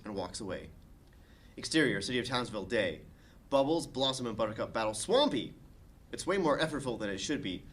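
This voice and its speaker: A man's voice: mid-range voice, sharp tone. A man reading scene directions as narrator at a comedic table read.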